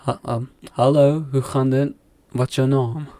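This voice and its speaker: Young man, from england, afrikaans first language, warm mellow tone, dry close sound, hesitant. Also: medium pitch, level tone, a little slow, dry recording. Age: young adult. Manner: rambling, hesitant, many fillers. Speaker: guest. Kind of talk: casual podcast interview.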